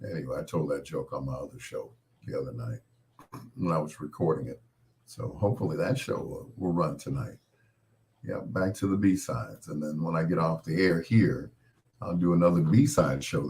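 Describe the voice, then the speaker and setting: A middle-aged man with a cold-roughened, hoarse voice, hosting a late-night radio show in a rambling, folksy way and chuckling at his own corny jokes. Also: a bass voice, animated, moderate pace, a little mellow.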